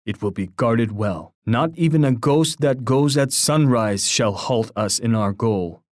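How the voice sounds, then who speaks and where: An actor playing a proud, poetic Native war chief in a video game, speaking in a formal, grandiose, oratorical manner. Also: male voice, mid-range voice, measured pace, no echo, clean studio sound, close to an everyday voice. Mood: resolute, menacing. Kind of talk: scripted video game voice lines.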